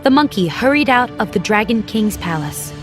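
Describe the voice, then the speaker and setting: A woman's voice: mid-range voice, animated, moderate pace. Woman narrating a children's story over music.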